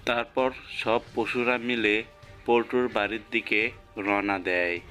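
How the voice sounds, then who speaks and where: A man's voice: on the low side, slow, sharp tone. A man narrating a cartoon folk tale as a scripted storyteller. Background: music.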